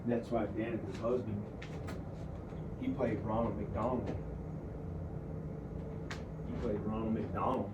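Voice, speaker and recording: A man improvising a first-person story in a halting, simple, repetitive manner, with hesitant pauses. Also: fairly low pitch, fairly even intonation. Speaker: amateur storyteller narrating own improvised story. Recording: noticeable background noise. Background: ambient sound effects.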